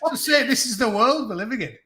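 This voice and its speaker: A man's voice: very high-pitched, lively intonation, fast talker, sharp tone. A man, a guest in a casual live chat, who talks bluntly and crudely in a ranting way.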